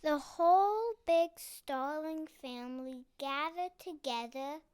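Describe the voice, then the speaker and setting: A child reading a children's picture book aloud with deliberate, dramatic emphasis. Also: sits high, dry recording. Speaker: storybook reader.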